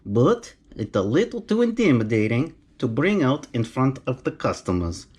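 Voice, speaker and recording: A man's voice: medium pitch, very animated, moderate pace, a little mellow, breathy edge. A middle-aged man from Romania with a Romanian accent, chatting enthusiastically to viewers as he unboxes a knife. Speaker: knife collector vlogger. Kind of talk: casual unboxing vlog.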